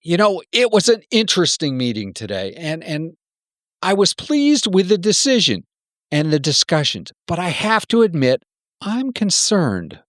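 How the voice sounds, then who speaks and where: A man playing a stern, worried technology executive in a radio play, with measured, halting phrasing. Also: high voice, wildly swooping pitch, fairly bright tone, no echo, studio quality, exaggerated voice. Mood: concerned, stern.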